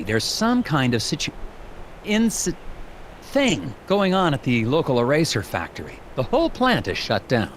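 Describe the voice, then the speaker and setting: An actor playing an earnest, awkward, polite government investigator in a video game, officious and slightly hesitant. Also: male voice, medium pitch, slight background noise. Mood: dry, reporting. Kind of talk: video game character dialogue and dictated reports.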